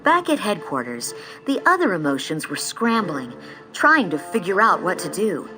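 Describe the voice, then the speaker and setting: A woman reading scripted storybook narration in an expressive, measured storytelling style. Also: fairly low pitch, low-quality recording. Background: music.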